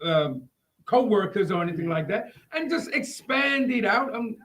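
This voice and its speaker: A man's voice: sits high, expressive, normal speed, a bit bright. A man speaking casually as a panelist in a group podcast conversation.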